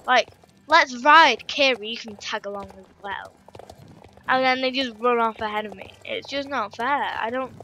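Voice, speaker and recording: Young female gamer, croaky and bright, rambling casual commentary over gameplay. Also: a little high. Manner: chatty, rambling, reads game text aloud. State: croaky, just woken up. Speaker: gaming YouTuber. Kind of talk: casual game commentary reading dialogue aloud.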